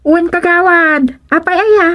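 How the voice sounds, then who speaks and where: A woman's voice: extremely high pitch, measured pace, a bit bright, no room sound. A woman with an Ilocano accent playing a worried but forthright village health worker in a radio comedy drama. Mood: curious.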